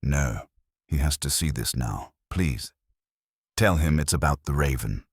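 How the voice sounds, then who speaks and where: A man's voice: very deep voice, expressive, a little slow, breathy edge, no room sound, studio quality, heavily acted. A man voicing a nervous, grieving widow in her thirties, pleading and holding herself together. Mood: urgent, pleading.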